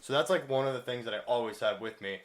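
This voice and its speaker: Excitable, rambling man chatting. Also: medium pitch, fast. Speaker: podcast co-host. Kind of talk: casual chat.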